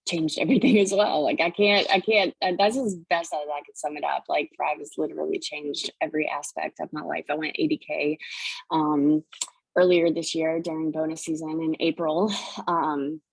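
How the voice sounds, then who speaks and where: A woman with a low, gravelly voice talks casually and a little scatteredly about herself. The sound is dry and close, and her manner is self-deprecating. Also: level tone, normal speed, no room sound, low-quality recording. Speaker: guest speaker on a team call. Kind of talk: casual chat, personal testimony.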